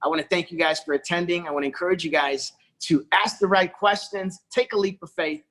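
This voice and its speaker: A man's voice: high voice, brisk, fairly bright tone. A man giving a persuasive sales presentation on a corporate video call, often calling out to his audience. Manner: persuasive, repeats "folks" and "okay".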